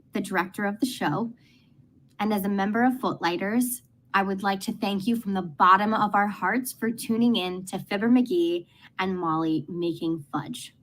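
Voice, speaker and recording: A woman's voice: mid-range voice, slight background noise. A woman speaking as a show's director, giving a scripted welcome speech to introduce a play.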